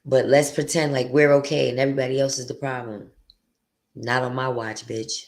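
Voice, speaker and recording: Deep, gravelly-voiced woman venting bluntly. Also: flat delivery, normal speed, a little mellow. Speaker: podcast host. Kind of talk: candid confessional monologue.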